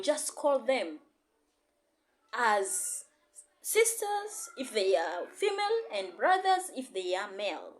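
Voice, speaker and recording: A woman, a language teacher giving an encouraging online lesson and often repeating herself. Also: high-pitched. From Tanzania. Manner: repetitive, encouraging, code-switching teacher. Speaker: Swahili and English teacher.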